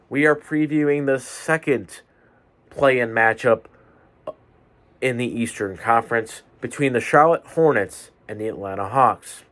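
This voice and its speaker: A man hosting a solo sports podcast from his bedroom, a little stuffed up, delivering picks in a measured, deliberate way. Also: mid-range voice. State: stuffy nose. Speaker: podcast host. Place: home bedroom with fans running.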